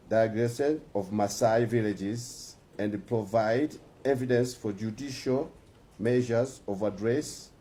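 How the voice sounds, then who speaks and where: Mellow Tanzanian man reading a statement. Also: medium pitch, poor audio. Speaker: musician and activist. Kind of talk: reading a prepared statement aloud.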